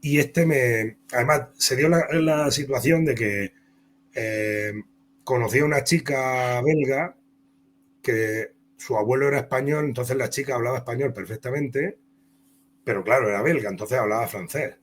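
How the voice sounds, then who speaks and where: A man's voice: mid-range voice, normal speed. A man from Spain with a Castilian Spanish accent, telling career anecdotes as an interview guest in a rambling, conversational way. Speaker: comic book author and interview guest.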